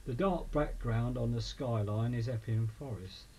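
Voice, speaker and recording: English bloke narrating a history film, slow and measured, bit of noise on it. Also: male voice, medium pitch, darkish tone. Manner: measured, listing names and dates. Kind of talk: scripted documentary narration. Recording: clearly noisy amateur voice-over recording.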